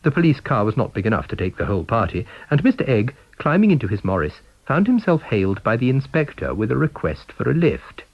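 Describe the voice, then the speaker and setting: Very animated British male storyteller. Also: medium pitch, brisk, creaky, few pauses, slightly rough, dull audio. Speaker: audiobook reader. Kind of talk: scripted story narration.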